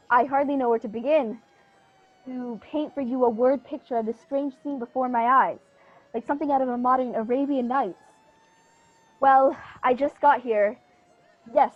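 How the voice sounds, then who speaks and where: Young woman acting earnest radio newsman, high voice, scripted live-broadcast delivery, dull lo-fi sound. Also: steady tone, unhurried, pauses often. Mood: awed, flustered. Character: earnest male radio news reporter interviewing live. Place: living room, video call. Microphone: earphones with inline mic. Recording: dull lo-fi video call audio.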